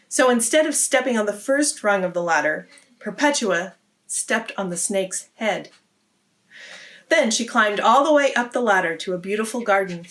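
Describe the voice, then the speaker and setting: A woman's voice: mid-range voice, a bit bright. A woman hosting a read-aloud, narrating a story for children.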